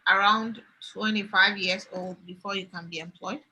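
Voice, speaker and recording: A woman's voice: medium pitch, steady tone, moderate pace, pushed and bright, slight background noise, poor audio. A woman hosting a live question session, relaying audience questions and summing up answers.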